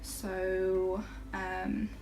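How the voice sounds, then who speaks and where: A woman in her twenties from the UK, chatting casually to camera in a rambling way, with many fillers and soft laughs. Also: mid-range voice, little pitch movement, very slow. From United Kingdom. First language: half Brazilian, Portuguese spoken at home. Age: 20s. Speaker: vlogger. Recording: noticeable background noise.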